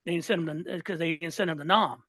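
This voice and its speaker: A man's voice: a little high, fairly even intonation, fast talker, fairly bright tone, no room sound, studio quality. A man in his sixties from Southern California, a listener guest talking politics in a fired-up way, often repeating and restarting words. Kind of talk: casual political chat.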